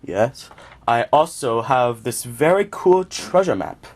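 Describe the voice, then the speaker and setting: A man's voice: medium pitch, expressive, takes it slowly, a little hiss or hum. A man performing an amateur comedic character skit in a theatrical, exclamatory manner.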